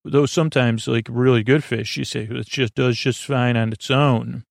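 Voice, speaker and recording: A man with a creaky voice hosting a bedtime podcast in a meandering, halting monologue, often stammering and restarting as he drifts into tangents. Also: mid-range voice, steady tone, no echo, clean studio sound. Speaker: podcast host.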